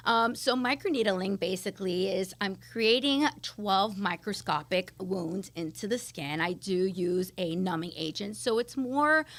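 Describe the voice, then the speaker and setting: A woman's voice: medium pitch, a bit bright. A middle-aged woman from Miami with an American accent, answering interview questions in an enthusiastic, explanatory and conversational way. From Miami, United States. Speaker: interview guest, esthetician.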